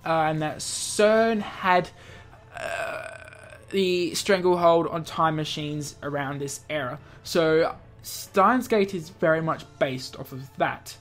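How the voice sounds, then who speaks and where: A man's voice: on the high side, slow pace, a bit bright. A male gaming YouTuber reading a visual novel aloud and adding casual commentary. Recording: game music behind. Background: visual novel game music.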